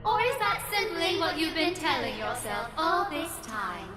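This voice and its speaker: A woman's voice: very high-pitched, very animated, a little slow, heavily acted. An actress playing a spiteful female apparition in a video game, mocking and theatrical, echoing over music. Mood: taunting. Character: taunting, spiteful female apparition in mirror shards.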